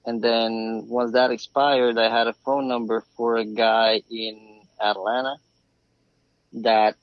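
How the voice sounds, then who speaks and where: A man's voice: medium pitch, flat delivery, slow pace, dry recording. A man around forty from Romania, telling his story conversationally as a podcast guest. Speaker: podcast guest, flooring craftsman. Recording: slightly dull, dry remote call.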